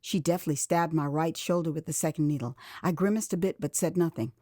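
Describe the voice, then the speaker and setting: An American woman narrating a first-person memoir as audio drama, with an expressive, theatrical delivery. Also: low-pitched, brisk, no room sound, studio quality. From United States. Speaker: author and narrator.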